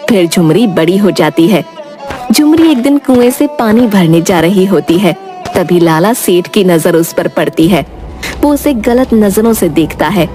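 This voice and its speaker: A woman with a mid-pitched voice tells a scripted children's tale as narrator. Music plays clearly underneath her. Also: animated, measured pace, mellow.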